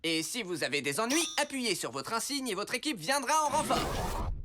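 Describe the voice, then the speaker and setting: A woman's voice: a little high, very animated, moderate pace. A woman voicing an upbeat, encouraging mentor to young superheroes in a cartoon dub. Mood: instructive. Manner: encouraging, instructive. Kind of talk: animated cartoon dubbing. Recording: music behind the voice. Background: cartoon music.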